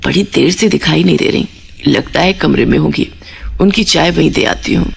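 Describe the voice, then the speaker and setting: A woman's voice: low voice, normal speed, vocal fry, breathy edge, pitched below the performer's own voice, full character voice. A woman voicing a loving, exasperated older mother-in-law who keeps the peace in a cartoon family story. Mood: puzzled, worried. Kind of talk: Hindi cartoon moral story acting.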